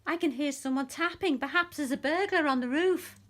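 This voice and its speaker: A woman voicing a small, timid young male mouse who is frightened of the night and pleads in a high, anxious voice. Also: fairly quick, close to an everyday voice. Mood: pleading.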